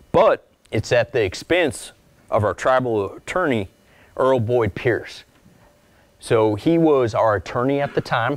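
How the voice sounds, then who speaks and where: A man's voice: fairly low pitch, dry recording. A man in his forties giving a casual lecture as an archivist, talking with slides in a lecture room and acting out little asides now and then. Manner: conversational, acts out little quoted asides; calls his own voice monotone. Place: mid-size lecture room, indoors.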